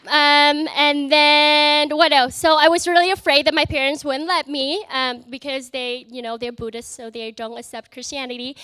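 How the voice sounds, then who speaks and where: A young woman from Vietnam with a Vietnamese accent, giving an earnest, halting personal testimony to an audience, full of fillers and restarts. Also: high-pitched, bright, forceful tone, dry recording. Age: young adult. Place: event in front of an audience.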